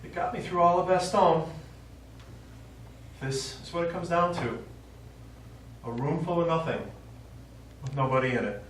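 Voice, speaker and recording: A man's voice: on the high side, fairly even intonation, measured pace, pauses often, lightly acted. In an echoey theatre, heard from far off, a man plays a stubborn old widower on stage. He is a veteran and retired teacher, given to reminiscing and reciting. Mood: somber. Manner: reminiscing, quotes speeches and verse. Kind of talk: live stage play acting. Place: small indoor theatre stage. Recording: echoey theatre, distant mic, faint noise.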